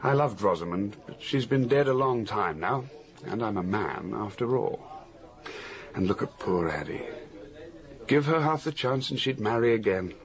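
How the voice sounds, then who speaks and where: An actor with an English accent playing an easygoing, cynical widower in a radio drama, frank and flippant in manner. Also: male voice, on the low side, animated, moderate pace. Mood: frank, resentful. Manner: flippant, candid, wry. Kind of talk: British radio drama acting.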